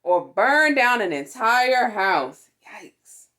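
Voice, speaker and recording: A woman reading a children's picture book aloud in an expressive, deliberate way, with playful exclamations. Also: fairly high pitch. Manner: deliberate, animated, with playful sound words and exclamations. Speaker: storytime reader for a fire department.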